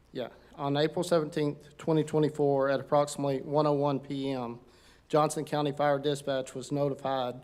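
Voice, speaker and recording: A man, a fire captain, reading a formal incident report at a council meeting, steady with some halting fillers. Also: mid-range voice. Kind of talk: formal prepared speech. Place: council chamber.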